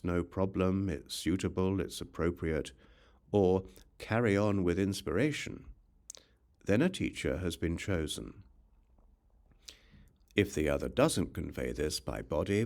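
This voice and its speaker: A man narrating a scripture audiobook in a measured, instructional manner. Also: low-pitched, darkish tone. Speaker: audiobook reader.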